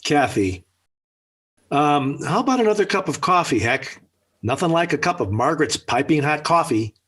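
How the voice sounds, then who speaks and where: Old radio sitcom dad, american, middle-aged, genial but trying to be firm, slightly husky, voice jumps around. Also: male voice, on the high side, sing-song, moderate pace, a bit bright, exaggerated voice. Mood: chatty, genial. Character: genial, talkative suburban father trying to preside. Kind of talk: 1940s radio sitcom acting. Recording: slightly rough 1940s radio recording.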